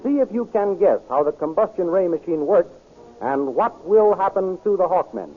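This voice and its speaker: High-voiced 1930s radio announcer. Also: male voice, lively intonation, faint music behind. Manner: brisk, enthusiastic, promotional. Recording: tinny 1930s radio transcription with hiss.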